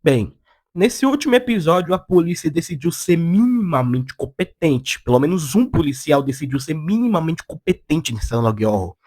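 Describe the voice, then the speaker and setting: Brazilian Portuguese accent, medium pitch, casual opinion commentary, slangy, blunt, sarcastic video essayist. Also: sing-song, moderate pace, a little mellow.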